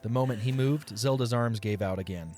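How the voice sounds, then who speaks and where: A man's voice: mid-range voice, fairly quick. A man reading scripted story narration for a fantasy audio drama. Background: soft music.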